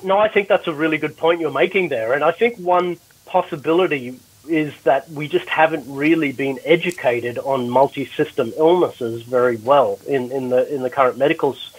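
A man's voice: on the high side, moderate pace, slightly rough, low-quality recording. A male doctor explaining a health topic in a recorded panel discussion, with frequent restarts. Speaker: doctor presenter. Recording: replay of a Clubhouse audio room.

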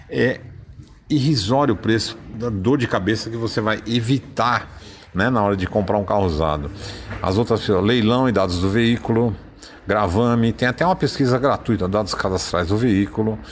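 A man's voice: fairly low pitch, slight background noise. A man with a Brazilian accent, hosting a car channel, casually walking through a website in an informal, chatty voice-over. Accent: Brazilian Portuguese. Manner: chatty, informal, thinks aloud with fillers. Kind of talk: casual screen-share walkthrough.

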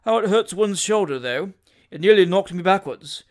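Man acting a pompous, timid, high-pitched gentleman. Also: animated, normal speed. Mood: complaining. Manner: self-satisfied, easily alarmed. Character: pompous, timid, proud amateur gentleman sportsman. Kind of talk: dramatised storybook reading.

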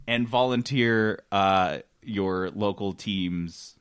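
A man's voice: on the low side, takes it slowly, a bit bright, clean tone. A man co-hosting a gaming podcast in casual comic banter, sarcastic and irreverent, swearing freely. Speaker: podcast co-host.

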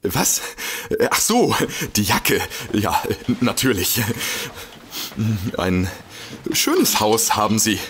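An actor playing an excitable, smitten, bumbling detective who stammers and gushes in a comedic audio drama. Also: male voice, fairly low pitch, extremely slow, noisy background, heavily acted. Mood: awkward, flustered. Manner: stammering, gushing, giggly, easily flustered.

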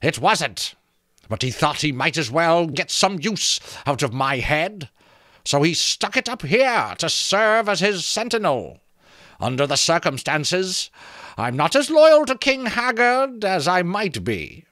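Man doing a high, slow, creaking skull, spiteful and cackling, dry close mic. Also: very animated, a bit bright, no echo. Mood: taunting. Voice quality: creaking, rattling voice. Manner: teasing, drawn-out, slow delivery.

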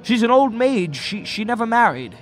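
An actor in a radio drama playing a kindly, anxious, bumbling guardian angel with an earnest, moralizing manner. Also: male voice, very high-pitched, sing-song, moderate pace, faint music behind. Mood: reluctant, fretful.

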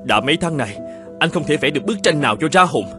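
Actor as an earnest, troubled young painter, animated and high-pitched. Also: male voice, quick pace, music in the background, lightly acted. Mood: despairing. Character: troubled, earnest male Japanese painter, devoted husband. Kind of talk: animated fairy-tale dubbing.

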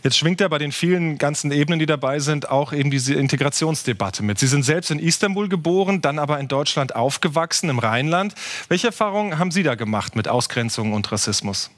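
A news anchor speaks from the studio at a quick clip, his pitch sitting a touch above the usual. He asks his questions briskly and pushes for clear answers. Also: expressive.

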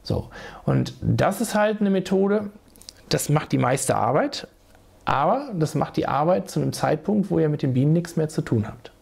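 A man's voice: a little high, animated, moderate pace, vocal fry, darkish tone, husky. A man in his forties with a cold, casually explaining beekeeping to viewers in a small home room, talking to a desk webcam. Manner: chatty, restarts sentences, addresses viewers directly. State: hoarse from a cold. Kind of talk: casual how-to video.

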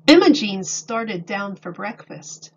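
A woman reading a picture book aloud to children like a teacher, deliberate and expressive. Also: mid-range voice, breathy edge. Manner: deliberate, expressive, explains words for young children. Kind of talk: read-aloud storybook narration. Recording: dull lo-fi recording.

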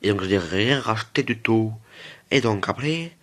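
A man's voice: on the low side, animated, moderate pace, a bit bright. A man hosts a gaming video. He talks casually, circling back over his own words and wandering off into joking asides with the viewers. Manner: repeats himself and digresses, joking with viewers. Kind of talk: casual, rambling gameplay commentary.

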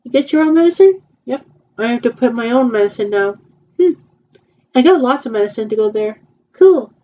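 A woman in her twenties with a fairly high, mellow voice. She talks haltingly, stopping and restarting with fillers. The sound is muffled and lo-fi, like a cheap home recording. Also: lively intonation. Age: 20s. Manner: hesitant, halting, many repeats and fillers. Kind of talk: improvised fan roleplay dialogue.

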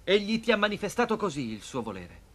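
Casting: devout young slave in animation, high male voice, earnest measured delivery. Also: very animated. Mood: devout, firm. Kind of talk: dubbed cartoon acting.

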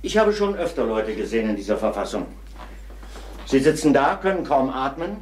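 An actor playing a calm, seasoned middle-aged police inspector in a German crime radio drama, measured and thoughtful. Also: male voice, mid-range voice, close to an everyday voice. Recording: faintly noisy old radio recording.

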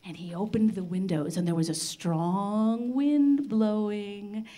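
An older woman telling a children's story live in an expressive, theatrical manner with dramatic pauses. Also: medium pitch. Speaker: storyteller on a children's radio show. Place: large library room, live before a seated audience. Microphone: handheld microphone.

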